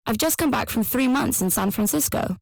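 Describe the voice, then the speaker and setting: Creaky-voiced London choreographer. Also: female voice, on the high side, lively intonation, brisk, no room sound. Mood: grateful, composed. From London, England. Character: grateful female London choreographer, former ballet soloist. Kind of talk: acted monologue for an English listening exam.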